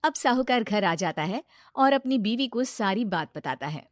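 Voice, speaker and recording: A woman's voice: mid-range voice, lively intonation, moderate pace, dry recording, studio quality. A woman narrating a scripted moral story as a storyteller.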